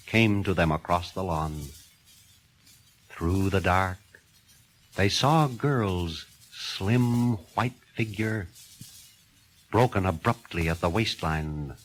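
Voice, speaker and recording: A man's voice: lowish voice, rough recording. A man narrating a horror story in a theatrical, suspenseful reading style.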